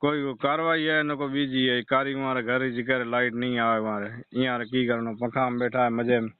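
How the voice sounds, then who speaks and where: A man's voice: mid-range voice, flat delivery, moderate pace, clear, resonant tone, dry recording. A man with a Rajasthani accent making an exasperated, insistent complaint as a customer, in colloquial dialect, over the phone. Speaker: customer phoning in a power-cut complaint. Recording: muffled, band-limited phone call recording.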